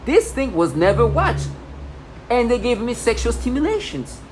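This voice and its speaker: A woman's voice: fairly high pitch, very animated, normal speed. A woman in her thirties in a rambling personal monologue, agitated and repetitive, with many restarts. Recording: noisy home recording.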